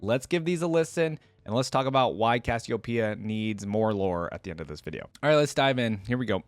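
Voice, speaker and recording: A man's voice: mid-range voice, animated, fairly quick, no room sound, faint music behind. A man hosting a reaction video in an informal, chatty style, commenting and reading lore aloud for viewers.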